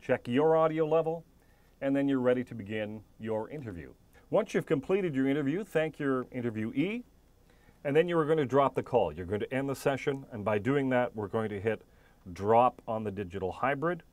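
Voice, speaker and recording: A man's voice: mid-range voice, expressive, moderate pace, a little mellow, no echo. A man narrating an instructional tutorial, explaining methodically step by step as an instructor.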